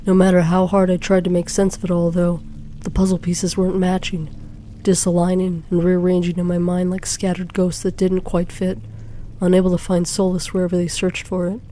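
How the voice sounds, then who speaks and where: A woman narrating a suspenseful first-person story in a dramatic, deliberate way. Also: fairly low pitch, monotone, warm tone. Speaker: fan fiction narrator. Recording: faint background noise.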